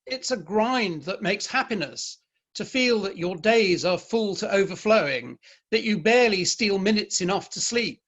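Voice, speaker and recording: Man playing high-voiced, stern Victorian gentleman. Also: muffled recording, full character voice. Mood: earnest, advising. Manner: emphatic, formal, opinionated speech. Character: stern, proud Victorian father and novelist. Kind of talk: dramatic play reading.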